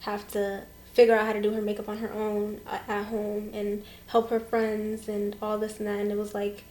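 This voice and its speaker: Chatty young woman, flat delivery. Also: medium pitch, normal speed. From Portland, Maine, USA. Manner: chatty, many fillers, quotes others. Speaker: guest, makeup artist. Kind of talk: casual interview talk.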